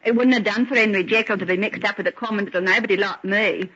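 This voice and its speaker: A woman's voice: mid-range voice, steady tone, quick pace, fairly bright tone, husky. An actress playing a bitter, wronged working-class young woman with a sardonic, defiant manner in an old-time radio drama. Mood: bitter, resigned. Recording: dull, lo-fi vintage radio recording.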